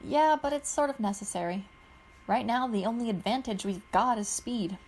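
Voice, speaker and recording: A woman's voice: fairly high pitch, normal speed, darkish tone, a little hiss or hum, lightly acted. A woman voicing an eager, clever teenage girl pilot in an audiobook reading.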